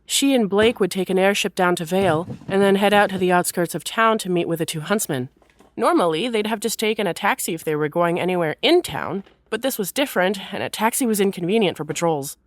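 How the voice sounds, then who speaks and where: A woman reading scripted third-person story narration in an expressive storytelling manner. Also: medium pitch, brisk, dry recording.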